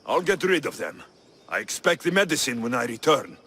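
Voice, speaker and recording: An actor playing a blunt, wry mercenary hero in a video game set in ancient Greece, dry and direct. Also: male voice, medium pitch, sing-song, a little fast, bright, forceful tone, a little hiss or hum. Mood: demanding. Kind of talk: video game character acting.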